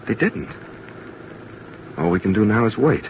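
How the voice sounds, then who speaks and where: An actor playing an idealistic young college student in an old-time radio drama, earnest and impassioned. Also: male voice, on the low side, lively intonation, a little slow. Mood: subdued, earnest. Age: young adult. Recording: dull, noisy vintage radio recording.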